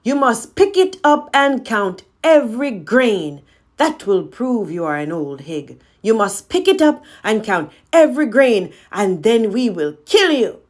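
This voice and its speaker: An angry village mother from a storybook, played by a woman narrator. Her voice is pushed high and loud. She accuses and commands with open menace. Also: very animated, unhurried. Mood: angry, accusing.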